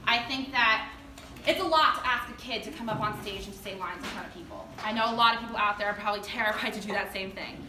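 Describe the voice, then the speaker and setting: A woman's voice: mid-range voice, fast talker. A woman speaking as a drama teacher, giving an informal, gushing and colloquial award speech to parents. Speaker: drama club teacher presenting student awards. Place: indoor performance space before an audience. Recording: echoey room, distant noisy mic.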